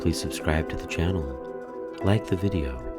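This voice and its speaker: A man reading a spooky children's story aloud in a dramatic, expressive way. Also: extremely low pitch, a little slow, over loud music. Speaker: storyteller, channel host.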